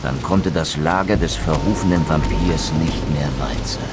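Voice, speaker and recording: A man's voice: rumbling low, moderate pace, over loud music. A man playing a brooding, proud undead wraith in a video game, speaking eloquently and theatrically with sardonic bitterness. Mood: brooding, grave. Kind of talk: dramatic game dialogue and scripted narration.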